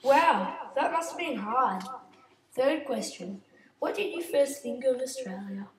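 A woman playing a female TV interviewer who reads out scripted questions briskly, in a school project role-play interview. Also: high-pitched, expressive, echoey room, a little hiss or hum, low-quality recording. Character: female TV interviewer hosting a show.

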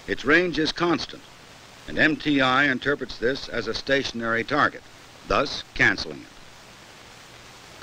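Bright-voiced American male film narrator, hissy soundtrack. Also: mid-range voice, poor audio. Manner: measured, formal, explanatory. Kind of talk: scripted 1960s educational film narration.

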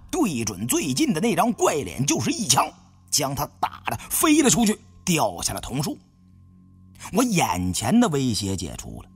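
Male audiobook narrator for adventure thriller, very lively intonation, suspenseful, light music behind. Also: on the high side, breathy edge. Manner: expressive, suspenseful delivery. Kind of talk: dramatic scripted storytelling of an adventure thriller. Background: background music.

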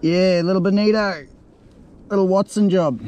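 Laid-back Australian bloke fishing from a kayak. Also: male voice, sits high, normal speed, a little hiss or hum. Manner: relaxed, mutters to himself, laid-back slang. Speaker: fishing vlogger. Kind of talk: casual running commentary while fishing. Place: on a kayak out at sea, outdoors. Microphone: action camera mounted on the kayak. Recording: music playing under the voice. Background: music over the talk.

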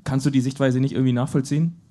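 A man's voice: medium pitch, fairly even intonation, very fast, mellow. A man hosting a live football panel, putting pointed, provocative questions to his guests into a handheld mic. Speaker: host. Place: pub stage before a live audience.

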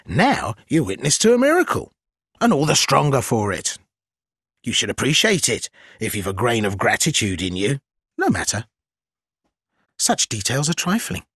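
A wildly swooping, sly spider trickster. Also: male voice, mid-range voice, a bit bright, slightly rough, no room sound, studio quality, full character voice. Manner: theatrical, wheedling, archaic phrasing, cackling. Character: sly, gleeful, obsequious spider-bodied trickster zealot. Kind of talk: dark gothic fantasy game acting.

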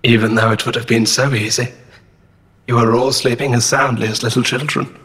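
An actor playing a literal, faintly menacing robot assistant in an audio drama, speaking precisely and formally. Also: male voice, mid-range voice, fairly even intonation, a little fast, a bit bright, close to an everyday voice. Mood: deadpan, smug.

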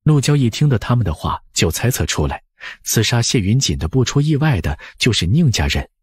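A man's voice: medium pitch, sing-song, brisk, breathy edge, no room sound, clean studio sound. A man narrating a novel as an audiobook, scripted and dramatic.

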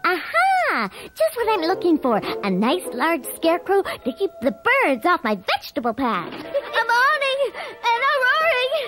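Squeaky, swooping little cartoon creature. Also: female voice, wildly swooping pitch, a little slow, exaggerated voice, rough recording. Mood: excitable. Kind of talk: cartoon character acting.

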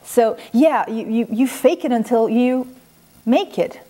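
Mellow-voiced woman from Iran, thoughtful and halting. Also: fairly high pitch. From Iran, raised in Sweden. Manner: halting, thoughtful, pausing between phrases. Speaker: interview guest, children's author and former academic. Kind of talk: unscripted interview answers. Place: quiet room in a house.

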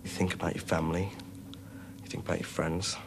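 A young man looks back in an interview. His voice is very deep and rather flat, with clear noise behind him. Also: fairly quick. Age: young adult. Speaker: interviewee, rescued caver. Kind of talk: reflective interview recollection.

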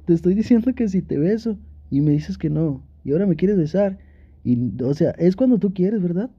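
A man's voice: high voice, animated, normal speed, husky, muffled recording. A young man playing an affectionate, teasing, pouty boyfriend in an intimate ASMR roleplay, talking playfully and pleadingly with sweet pet names. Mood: annoyed, protesting. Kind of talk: Spanish ASMR boyfriend roleplay, intimate one-sided dialogue.

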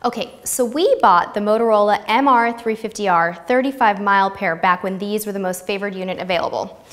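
A woman's voice: mid-range voice, a little fast, fairly bright tone, big-room sound. An American woman in her thirties presenting scripted tips to camera in a light-hearted, playful way on a lapel mic. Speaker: host of a travel tips video series.

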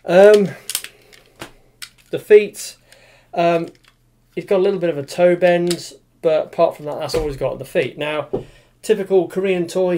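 A man's voice: fairly high pitch, a little mellow, slight background noise. A man, casually reviewing a toy in a small room, rambling with lots of fillers and restarts. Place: small room with display cabinet, indoors.

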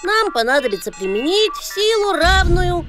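A woman's voice: extremely high pitch, very animated, normal speed, music in the background. A woman voicing a small, brainy, cautious living backpack in a children's cartoon, with a precise, bookish manner. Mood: matter-of-fact. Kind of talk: animated children's cartoon acting.

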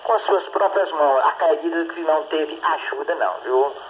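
A man's voice: a little high, normal speed. A man talking casually over a ham radio link, rambling with many restarts and tag questions. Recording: tinny radio transmission with faint hiss.

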